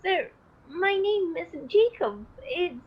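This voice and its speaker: Very high-pitched, earnest young boy played by a woman. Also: very animated, slow, heavily acted. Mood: tentative. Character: polite, puzzled young street boy. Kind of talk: amateur radio play acting. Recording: muffled lo-fi call audio with noise.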